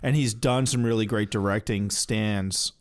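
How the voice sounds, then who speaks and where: A man's voice: lowish voice, fairly even intonation, unhurried. A man co-hosting a podcast in casual, opinionated chat. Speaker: podcast co-host.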